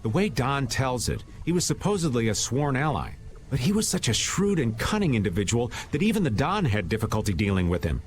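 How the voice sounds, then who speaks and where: Game know-it-all adventurer played by a man, middle pitch, lively intonation, easygoing, slight background noise. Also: a little fast, lightly acted. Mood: casual, informative. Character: worldly, knowledgeable male adventurer, easygoing explainer. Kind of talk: video game voice acting, exposition.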